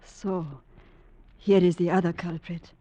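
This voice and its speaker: An actress playing a suspicious young wife who teases and accuses in an old radio drama. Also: female voice, fairly low pitch, little pitch movement, measured pace, warm tone, lo-fi sound. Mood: teasing. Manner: teasing, accusing. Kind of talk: 1940s radio acting.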